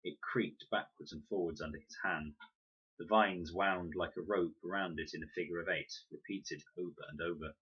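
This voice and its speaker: Male storybook reader: low, bright-edged voice, scripted narration, slightly lo-fi. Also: steady tone, normal speed, husky. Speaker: audiobook reader.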